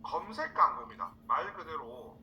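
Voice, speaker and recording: A man from South Korea teaching a live online class in an informal, halting way, repeating words for emphasis. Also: fairly high pitch, very animated, pushed and bright, slight background noise. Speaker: instructor, marketing trainer. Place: indoors, online screen-share session.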